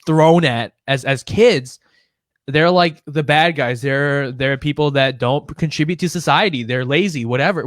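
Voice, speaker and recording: A young man from Illinois co-hosting a podcast, chatting casually, profane and animated, laughing often. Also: on the high side, no room sound, clean studio sound. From Illinois, United States. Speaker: podcast co-host. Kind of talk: casual chat.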